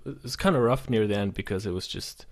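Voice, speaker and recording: A man with a lowish voice rambles through stories on a podcast, restarting his sentences and swearing casually. He sounds relaxed and easygoing. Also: quick pace. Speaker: podcast co-host. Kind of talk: casual chat.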